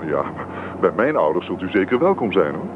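An actor playing a polite, eager young would-be farmer in a radio play, modest and straightforward. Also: male voice, sits low, very animated, fairly quick. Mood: polite eagerness. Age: young adult. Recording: noisy narrowband old radio play, car sounds.

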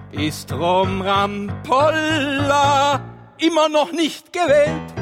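A man giving half-sung theatrical narration in an audio drama, drawn-out, repetitive and exclamatory. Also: very high-pitched.

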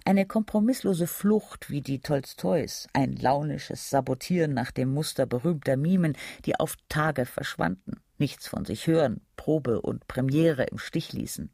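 Gravelly male narrator, higher-pitched. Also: unhurried, few pauses, a little mellow, no echo, clean studio sound. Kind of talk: literary scripted narration for radio drama.

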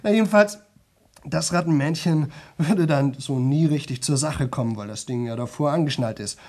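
A talkative young fellow in a radio play, his voice pitched on the high side. He speaks colloquially to his listeners, jokes along, wanders off and interrupts himself. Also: moderate pace, darkish tone. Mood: animated, teasing. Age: young adult. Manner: colloquial, digressive, jocular, self-interrupting. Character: chatty young man rambling nonstop to an audience. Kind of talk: 1970 German radio drama monologue.

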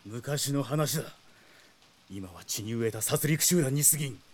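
A brooding young mercenary swordsman haunted by loss. His delivery is intense and bitter, swinging widely in pitch as in an anime audio drama. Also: expressive, unhurried. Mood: bitter anguish.

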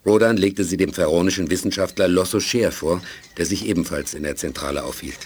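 A man's voice: low voice, fairly quick, darkish tone, close to an everyday voice. A man narrating a space adventure in a composed, scripted manner for a 1980s German radio play. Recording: music under the voice.

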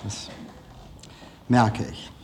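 A man giving a live public lecture in a hall, hesitant, halting and self-deprecating as he speaks. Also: a little mellow, a little hiss or hum. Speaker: film director giving a lecture. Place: large formal auditorium, indoors.

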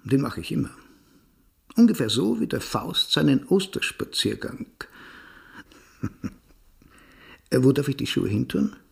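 An actor playing a bitter, brooding male lodger in a radio play, gravelly and sardonic in a muttering way. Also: mid-range voice, sing-song, measured pace, long pauses, heavily acted. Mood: dryly polite, sardonic.

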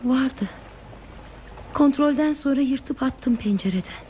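A woman's voice: high-pitched, measured pace. An actress playing an aimless, enigmatic woman traveller, wistful, evasive and detached, in a theatrical radio play style. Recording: dull, lo-fi radio play tape, hissy.

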